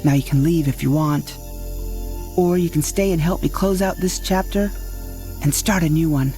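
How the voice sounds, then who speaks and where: A woman playing a confident space superheroine who speaks in a calm, knowing, mentor-like way. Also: deep voice, moderate pace, soft background music, lightly acted. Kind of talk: scripted audio drama acting.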